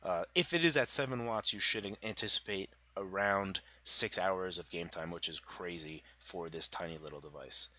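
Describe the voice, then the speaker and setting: A deep-voiced man with a bright, forward edge to his tone, talking through hardware specs. He sounds congested from a cold and talks loosely, full of "uh"s and restarts. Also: moderate pace, few pauses. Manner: loose, filler-heavy, self-correcting. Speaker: tech channel host. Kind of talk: casual tech explainer over slides.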